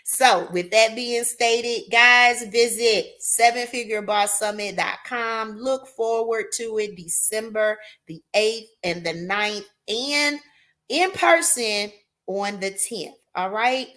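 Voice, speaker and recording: A woman hosting a live talk show, loudly hyping her audience and calling guests "queen". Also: fairly high pitch, slow pace. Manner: hypes the audience with "y'all" and "queen".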